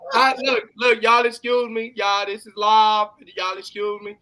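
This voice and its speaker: A man with a Texas accent hosts a casual live show. His voice is bright and forward, and he chats in a slangy, bantering way. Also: high voice, little pitch movement, slow. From California, long settled in Texas. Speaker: talk show host. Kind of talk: casual live-stream interview chat.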